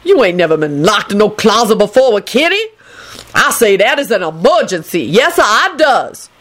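A woman's voice: mid-range voice, very animated, normal speed, a bit bright. A woman voicing a brash, indignant woman with folksy speech in a story, hollering and drawing out her words. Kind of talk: dramatised story reading.

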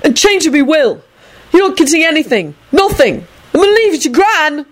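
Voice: mid-range voice, moderate pace, voice pushed up, natural voice. A storyteller voicing an exasperated, sulky man in a melodramatic, indignant, whiny manner for an audiobook story. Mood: cross bellowing. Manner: whiny, dramatic, loudly indignant.

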